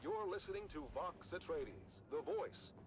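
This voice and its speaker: An actor playing a propaganda radio announcer for a noble house in a video game, with a polished, showy, formal delivery. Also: male voice, a little high, lively intonation, a little slow, poor audio. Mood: upbeat, showy. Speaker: radio host.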